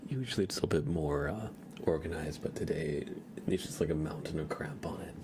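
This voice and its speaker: A man with a very low, creaky voice hosts a casual ASMR stream. He speaks softly and often drops into a whisper, with clear noise in the recording. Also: animated, brisk. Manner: hushed, often slipping into whispers. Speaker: ASMR livestream host. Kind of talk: casual soft-spoken ASMR stream chat.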